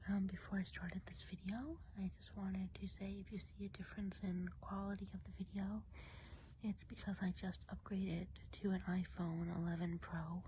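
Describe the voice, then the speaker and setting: Soft whispering woman, mellow and breathy. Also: medium pitch, rough recording. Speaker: ASMR video host. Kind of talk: ASMR whispering, casual intro. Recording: faint background noise.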